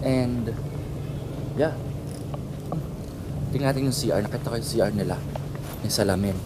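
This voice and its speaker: A man's voice: on the low side, moderate pace. A Filipino man chatting casually and informally as a travel vlogger, switching between two languages. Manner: informal, mixes Tagalog and English. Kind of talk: casual vlog chat. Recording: very noisy handheld travel recording, ambient din.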